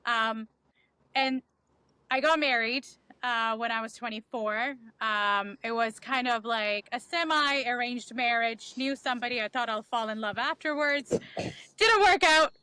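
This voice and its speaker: A woman's voice: on the high side, measured pace, pushed and bright, clear, resonant tone, dry recording, poor audio. A woman, a podcast guest candidly telling her life story in a reflective way with many ums. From Bangladesh. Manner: reflective, frequent um fillers.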